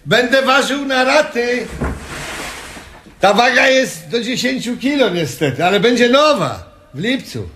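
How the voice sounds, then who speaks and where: A man's voice: very high voice, sing-song, unhurried, sharp tone, echoey room, slight background noise, heavily acted. An actor in a Polish radio comedy playing a harried, chatty male shop owner, eager, wheedling and fussy. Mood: fussy, insistent.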